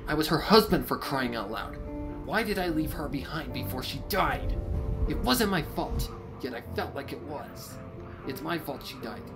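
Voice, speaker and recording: A man's voice: on the high side, lively intonation, moderate pace. A man playing a grieving, bitter widowed pony, narrating his story in a brooding, theatrical way. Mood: anguished. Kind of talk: dramatic first-person story narration. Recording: music behind the voice. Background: prominent music.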